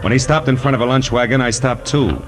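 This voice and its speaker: An actor playing a wisecracking, world-weary private detective in 1940s radio noir style, wry and clipped. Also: male voice, mid-range voice, steady tone, quick pace, background noise, natural voice. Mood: wry narration. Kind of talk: 1940s radio noir acting and first-person narration. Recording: vintage 1940s radio recording, music behind.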